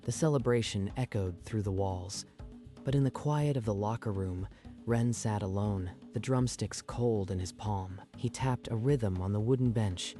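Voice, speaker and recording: Deep, mellow male dramatic narrator. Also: normal speed, soft background music. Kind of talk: dramatic scripted story narration.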